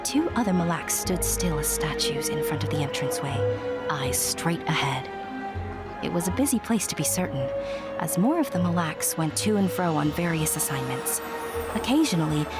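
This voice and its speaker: Low-voiced woman narrating a fantasy tale. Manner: conversational storyteller asides to the listener. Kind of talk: scripted storybook narration of a fantasy audio drama. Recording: loud music under the narration. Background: music.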